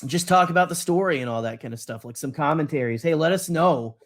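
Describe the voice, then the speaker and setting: A man's voice: on the high side, quick pace. A man co-hosting a podcast, chatting casually and enthusiastically in a rambling, slangy way, often interrupting himself. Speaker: podcast co-host.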